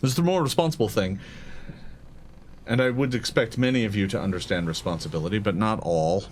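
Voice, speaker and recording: Stern female guardian of a dangerous relic man acting, low, formal, worried, tabletop roleplay. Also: slight background noise, lightly acted. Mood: grave, worried. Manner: formal, grave, measured wording. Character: stern, worried woman entrusted with a dangerous deck.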